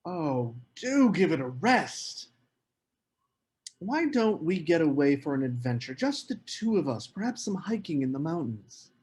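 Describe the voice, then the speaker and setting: A man's voice: a little high, sing-song, measured pace, darkish tone. A man playing a proud, earnest writer in a period literary drama table read, speaking in formal phrases. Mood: affable, teasing. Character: Geoffrey Aspen, proud, earnest male writer.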